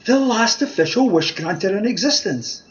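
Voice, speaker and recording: A man's voice: high-pitched, animated, normal speed. A man with a Scottish accent playing an insistent, cajoling wish granter disguised as a scruffy tramp in an amateur radio play, theatrical in manner. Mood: mysterious, insistent. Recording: dull remote home recording.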